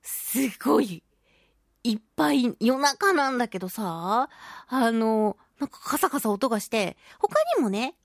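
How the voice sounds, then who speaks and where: A woman's voice: fairly high pitch, no echo, clean studio sound. A woman hosting a solo radio talk show, telling stories casually and animatedly, with sound-effect words and playfully polite phrasing.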